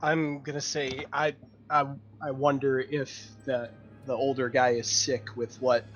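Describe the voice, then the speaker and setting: A man's voice: medium pitch, little pitch movement, a little slow, faint music behind. A man talking casually as a player in a tabletop roleplaying game. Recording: dull lo-fi voice chat.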